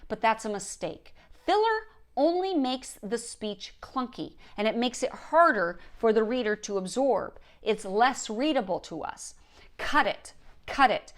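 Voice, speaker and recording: A woman giving an instructional talk as a writing coach, speaking directly and emphatically, repeating key points for stress. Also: on the high side. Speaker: writing coach and show host.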